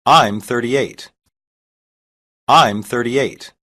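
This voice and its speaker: A reader saying short English practice sentences slowly and evenly, each one twice, for a language lesson. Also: mid-range voice, sharp tone. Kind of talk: slow repeated phrase reading.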